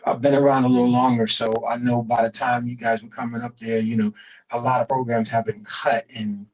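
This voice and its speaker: A middle-aged man, a guest musician in a remote interview, talking in a relaxed way and often repeating himself with "you know". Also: mid-range voice, fairly quick, rough recording. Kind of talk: casual interview chat.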